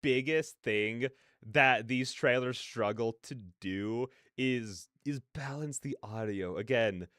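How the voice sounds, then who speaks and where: A man's voice: medium pitch, slow pace, fairly bright tone, clear, resonant tone, no echo. A man chatting casually on a livestream as a reaction host, close to the mic.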